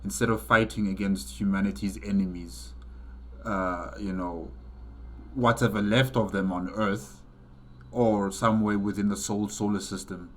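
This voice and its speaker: A man hosting a reaction video, commenting off the cuff in an earnest, halting way and repeating phrases for emphasis. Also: fairly low pitch, fairly even intonation.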